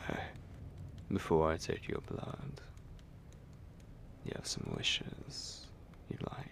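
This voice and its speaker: A man playing a possessive, hungry vampire lord in an intimate ASMR roleplay, breathy and commanding, speaking slowly with menace. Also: rumbling low, wildly swooping pitch, fairly bright tone, heavily acted. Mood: hungry, predatory. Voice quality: breathy, whispery, gravelly. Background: music.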